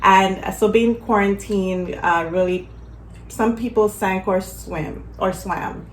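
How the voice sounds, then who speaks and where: A woman's voice: mid-range voice, takes it slowly. Casual woman host in an echoey room. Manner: lively, joking, slangy. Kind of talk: casual chat. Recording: echoey room, faint ambient noise.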